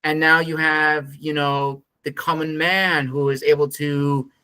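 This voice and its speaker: A man's voice: a little high, little pitch movement, a little slow, pushed and bright, smooth, no echo. A man hosting an interview podcast, asking earnest, rambling questions full of fillers. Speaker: podcast host interviewing a narrator.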